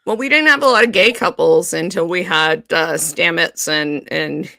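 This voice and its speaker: A woman co-hosting a podcast, chatting casually about a TV series, animated and laughing often. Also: lowish voice, normal speed, creaky, no room sound. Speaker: podcast co-host. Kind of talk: casual fan discussion.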